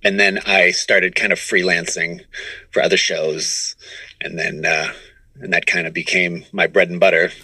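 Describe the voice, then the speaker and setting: A middle-aged man with a fairly low yet bright, forceful voice, recorded dry. He speaks as an interview guest, recounting things in a loose, conversational storytelling style. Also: little pitch movement, moderate pace, husky, no room sound, poor audio. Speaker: interview guest, comedy writer and voice performer.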